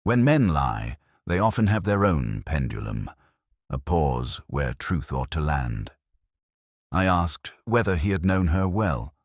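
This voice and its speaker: A middle-aged man reading a scripted first-person detective story in a measured, brooding manner, with deliberate pauses. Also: very deep voice, big pitch swings, no room sound, clean studio sound. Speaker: narrator.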